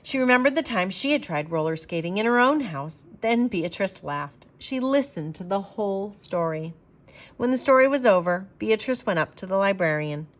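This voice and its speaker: A woman reading a children's storybook aloud as an expressive storyteller, with emphatic phrasing. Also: mid-range voice, normal speed.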